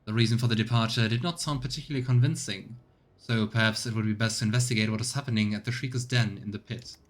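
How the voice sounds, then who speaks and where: A man's voice: lowish voice, fairly even intonation, fast. A man giving casual let's play commentary and reading game text aloud. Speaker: streamer. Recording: clear background noise.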